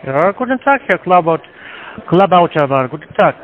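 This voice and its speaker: A man's voice: on the high side, very animated, normal speed, a little hiss or hum. A man making a polite, formal, scripted sales call over the telephone. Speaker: call centre scam caller. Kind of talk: scripted phone sales pitch. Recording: dull telephone-like sound.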